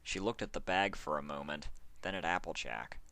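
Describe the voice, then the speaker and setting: A man with a low voice and a bright, forward edge narrates a story. His reading is scripted and expressive, with a very dry sound free of room echo. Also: moderate pace. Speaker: fan fiction narrator.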